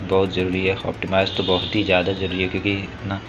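A man's voice: low voice, monotone, fast talker, highs cut off, background noise. A man with an Indian accent giving casual gaming news commentary, talking informally to his viewers like brothers. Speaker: gaming YouTuber. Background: music behind the voice.

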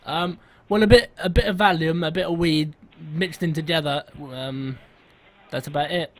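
A man making a prank phone enquiry in a hesitant, halting, polite way. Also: on the high side, fairly even intonation. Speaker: prank caller.

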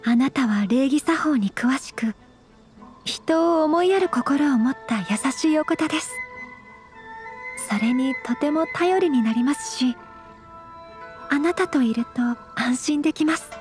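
Anime game role: earnest young noblewoman, high voice, modest, formal delivery, music underneath. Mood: polite, earnest. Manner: formal, honorific, gently measured. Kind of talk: Japanese anime game voice acting.

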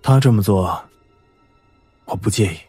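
A man playing a self-mocking, soft-hearted idle son of a minister in an audio drama. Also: lowish voice, lively intonation, a little slow, slightly rough, dry recording, exaggerated voice. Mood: forgiving. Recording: music under the voice.

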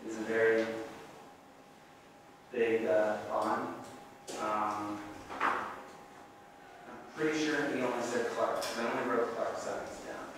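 A young man reads out a meeting report in a level, flat voice, stopping often on ums and uhs. He is picked up from far off in an echoey meeting room with a long tail of reverb and faint hiss. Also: on the low side. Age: young adult. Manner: halting, many ums and uhs. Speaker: student government president. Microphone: far camera.

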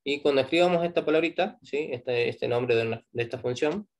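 Argentine guy teaching programming online, raspy low voice, keeps asking "¿sí?". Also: a little fast, highs cut off. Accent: Argentine Spanish. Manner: explains step by step, tags lines with a checking "¿sí?". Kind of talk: live online programming class.

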